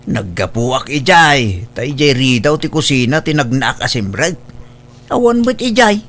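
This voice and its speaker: A woman's voice: very deep voice, big pitch swings, unhurried, exaggerated voice. A woman playing a feisty, protective elderly grandmother in an Ilocano audio drama, with an Ilocano accent. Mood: stubborn, chatty.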